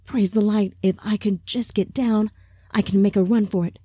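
A woman's voice: mid-range voice, fairly even intonation, normal speed, a little mellow, husky, dry recording, natural voice. Woman voicing a frightened young man. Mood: desperate. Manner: pleading, urgent.

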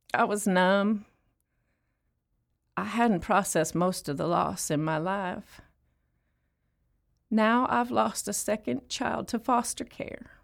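A weary young mother in prison, confessing her losses in an audio play. Her pace is slow and halting, with a creaky fry in a plainspoken, numb delivery. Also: lowish voice, exaggerated voice. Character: numb, bereaved incarcerated mother, late twenties to thirties.

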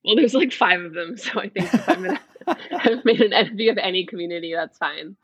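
A woman's voice: mid-range voice, animated, a little fast, fairly bright tone, husky, no room sound. A young woman co-hosting a podcast, chatting casually, laughing often and asking curious questions. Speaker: podcast co-host.

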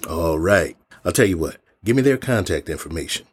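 An actor playing a calm, sceptical police chief with an informal, measured way of talking in an audio drama. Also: male voice, deep voice, husky. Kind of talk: naturalistic audio drama acting.

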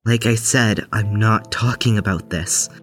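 Man playing a guarded, low-voiced young patient. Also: steady tone, a little slow, fairly bright tone, breathy edge, dry recording, clean studio sound. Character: guarded, anxious, reserved young nonbinary patient. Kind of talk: audio drama acting. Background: music.

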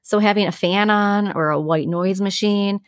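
A woman's voice: mid-range voice, moderate pace, dry recording, clean studio sound. A woman co-hosting a podcast, giving advice in an informal, conversational way and explaining things through everyday examples. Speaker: podcast co-host giving sleep tips.

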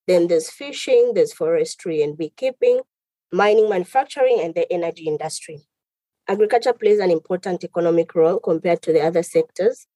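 A woman from Tanzania, a lawyer guest on a podcast, explaining her country's business and employment law in a measured way. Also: lowish voice, warm tone, dry recording. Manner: measured, explanatory, lists items. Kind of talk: podcast interview answers.

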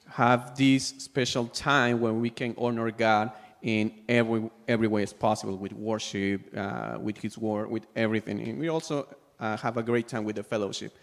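A man from Peru with a Peruvian Spanish accent preaches a sermon in English as a second language, pausing now and then to search for words. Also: mid-range voice. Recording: long hall reverb.

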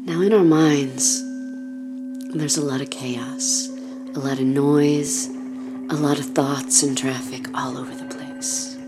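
Slow, low, hushed woman guiding meditation. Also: animated, music in the background. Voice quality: soft, breathy, often near a whisper. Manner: soothing, gentle, unhurried, with long pauses. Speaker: meditation guide. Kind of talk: guided meditation, body scan narration.

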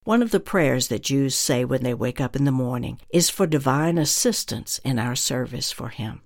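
A minister gives a scripted religious teaching with a medium-pitched voice. The delivery is earnest and deliberate, setting out phrases with emphasis. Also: lively intonation.

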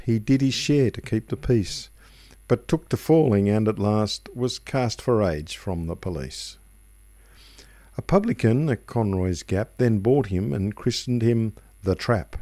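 Slow, mellow man reciting verse. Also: fairly low pitch, dry recording. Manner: measured, rhythmic verse delivery. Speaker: radio reader. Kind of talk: expressive poetry recitation, storytelling.

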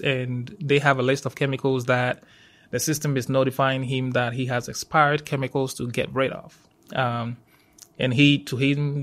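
Ghanaian man with an even delivery. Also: medium pitch. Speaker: university safety professional, interview guest. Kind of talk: conversational interview answers.

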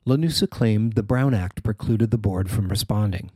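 A man's voice: fairly low pitch, moderate pace, warm tone, slight background noise. A man reading a scripted news report as its reporter and narrator.

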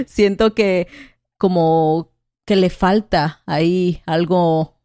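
A woman's voice: on the low side, lively intonation, very slow, warm tone, no echo, studio quality. A woman from Mexico in casual conversation, talking thoughtfully and hesitantly, often ending with "¿no?". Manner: thoughtful, hesitant, with frequent "¿no?" tags.

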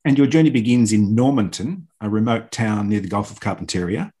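Measured male radio interviewer. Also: on the low side, mellow. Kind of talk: prepared interview questions.

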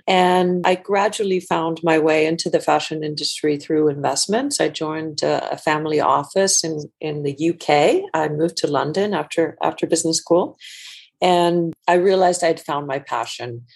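A woman's voice: low voice, a little mellow. A woman from Iceland in her forties, a guest entrepreneur talking through her career in a conversational interview, often restarting and repeating words as she thinks.

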